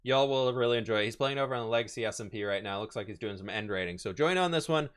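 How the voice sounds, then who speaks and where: A man streaming a building game, upbeat and chatty, his voice a little hoarse, answering questions from chat. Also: mid-range voice, racing, a bit bright. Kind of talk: casual live-stream chat while building.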